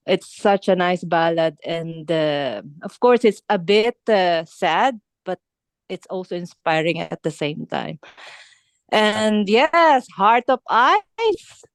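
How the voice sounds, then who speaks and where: Young Filipino woman, interview guest; slow, clean voice, hesitant phrasing. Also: lowish voice, animated, smooth, no room sound. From Philippines. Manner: halting, searching for words, many fillers and restarts. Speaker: band singer being interviewed. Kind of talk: casual interview chat.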